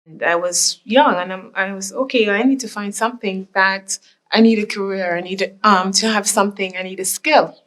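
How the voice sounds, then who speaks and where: A woman's voice: mid-range voice, normal speed, a bit bright. A woman from Jamaica, speaking earnestly and reflectively in a documentary interview. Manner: reflective, earnest, repeats herself. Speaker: interviewee, immigrant mother.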